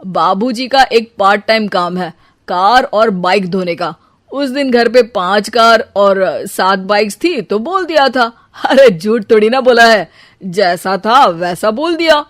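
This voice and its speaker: A glib young husband from a cartoon moral story, voiced by a woman, boasting and slipping out of trouble with hesitant excuses. The voice sits at an ordinary female pitch, in a very dry recording. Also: animated, measured pace, no room sound, close to an everyday voice. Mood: evasive. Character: boastful, evasive young husband telling slippery half-truths.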